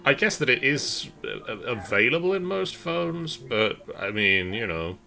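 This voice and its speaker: A man's voice: mid-range voice, very animated, unhurried, vocal fry, music in the background. A man co-hosting a game stream, giving casual commentary.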